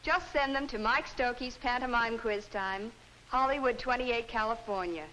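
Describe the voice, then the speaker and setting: A woman reads a scripted game show announcement in a bright, fairly high voice. It sounds like a 1950s TV broadcast, with a little noise underneath. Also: normal speed, poor audio.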